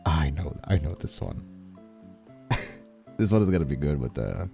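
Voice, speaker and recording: A man's voice: rumbling low, lively intonation, moderate pace, vocal fry. A man chatting casually on a livestream as a streamer and audio creator. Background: faint music.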